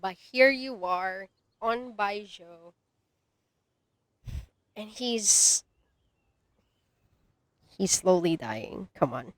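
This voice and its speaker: A woman's voice: mid-range voice, lively intonation, drawn-out words, pauses often, no room sound. A woman live-streaming a game, tossing in casual, amused and teasing commentary.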